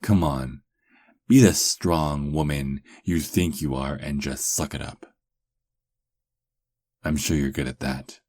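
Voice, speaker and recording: Male actor as flirty werewolf captive, rock-bottom pitch, unhurried drawl, sarcastic, teasing, ASMR roleplay, dry close recording. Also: darkish tone, no room sound, heavily acted. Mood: teasing, flirtatious. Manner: teasing, sarcastic, slow drawl with lingering pauses.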